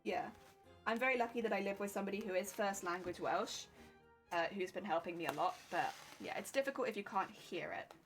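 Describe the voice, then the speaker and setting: A young woman with a southern English accent chatting with her live viewers while sewing, self-mocking and quick to laugh. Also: mid-range voice, faint music behind, low-quality recording. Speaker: streamer.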